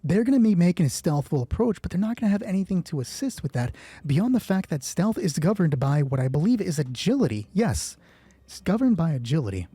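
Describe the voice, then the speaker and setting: Mellow, husky man thinking aloud. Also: on the high side, big pitch swings, brisk, no room sound. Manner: deliberating, asks himself questions and answers them. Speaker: solo roleplay game master. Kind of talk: narrating a solo tabletop game, thinking aloud.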